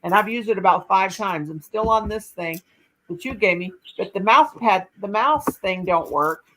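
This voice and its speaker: A woman's voice: medium pitch, moderate pace, pushed and bright, rough recording. An older woman hosting a casual live chat on her laptop, telling a rambling story full of restarts. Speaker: channel host.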